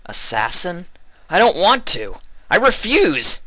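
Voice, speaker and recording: A man voicing a feisty young female pony, frightened and defiant, shouting and screeching in a story reading. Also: sits high, huge pitch swings, slow, no echo, pitched above the performer's own voice, exaggerated voice. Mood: panicked, defiant.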